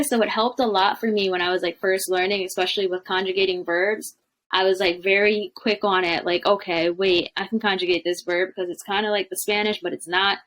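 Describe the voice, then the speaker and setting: A woman's voice: medium pitch, a bit bright. Chatty young woman on a video call. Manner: chatty, many fillers, laughs often. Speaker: language coach, guest. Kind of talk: casual interview chat. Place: home room with bookshelves.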